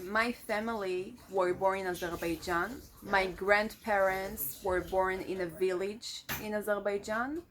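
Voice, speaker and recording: A woman in her twenties from Israel, a native Hebrew speaker with an Israeli accent, talking reflectively in a casual vlog and often pausing with fillers. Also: medium pitch. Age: 20s. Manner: reflective, searching for words with many fillers. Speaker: vlogger. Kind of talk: casual personal vlog monologue.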